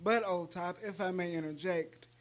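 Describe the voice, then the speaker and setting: A man's voice: high-pitched, normal speed. A thirty-year-old man chatting casually as a podcast co-host, with jokey, mock-formal interjections and casual swearing. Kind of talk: casual chat.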